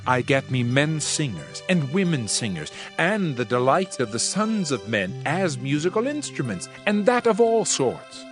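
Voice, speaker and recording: An actor playing a world-weary preacher king in a dramatized scripture reading, solemn, measured and oratorical. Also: fairly high pitch, sing-song. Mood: reflective, rueful. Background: background music.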